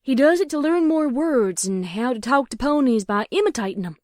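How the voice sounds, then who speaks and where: A woman's voice: high-pitched, expressive, brisk, a little mellow, no room sound, studio quality. A woman voicing a caring, protective big-sister farm pony from a cartoon, folksy, with a Southern US accent. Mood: guarded.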